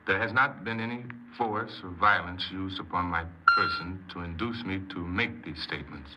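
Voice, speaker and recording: An actor playing a troubled, defensive criminal confessing in a 1950s radio crime drama, speaking earnestly and haltingly as a proud hypnoanalyst. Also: male voice, deep voice, close to an everyday voice. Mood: solemn, resigned. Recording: very noisy, muffled 1950s radio recording.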